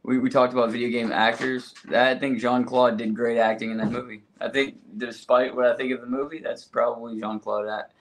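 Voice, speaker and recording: A man's voice: medium pitch, level tone, fairly quick, hardly any pauses. A man appearing as a podcast guest on a video call, chatting casually in a hesitant, hedging way. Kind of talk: casual chat.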